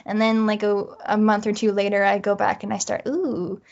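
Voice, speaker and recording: A woman's voice: mid-range voice, monotone, moderate pace, gravelly, a little mellow. A woman chatting casually as an interview guest, self-mocking and acting out her own thoughts as little quotes. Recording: dull, lo-fi audio.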